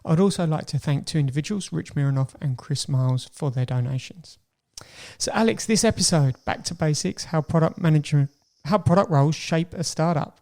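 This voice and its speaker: A man's voice: on the high side, fairly quick, darkish tone. A man hosting a podcast, introducing the episode and talking to his guest in a conversational way. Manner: conversational, with fillers and restarts. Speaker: podcast host.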